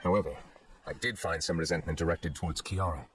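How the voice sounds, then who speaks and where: Deep-voiced, solemn chief justice. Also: male voice, fairly bright tone, a little hiss or hum. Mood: calm, serious. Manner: formal, measured, deliberate. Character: solemn, reserved chief justice, a dragon in human form. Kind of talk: video game dialogue acting.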